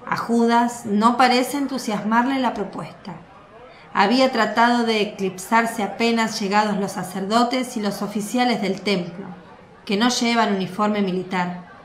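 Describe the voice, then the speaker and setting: A woman narrating a radio drama with a clear storytelling delivery. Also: medium pitch, measured pace. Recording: echoey room.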